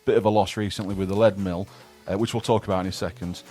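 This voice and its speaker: A man's voice: fairly low pitch, steady tone, fast, dark tone, soft background music. A man, hosting a casual radio interview and asking chatty, rambling questions. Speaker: radio presenter. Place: radio studio.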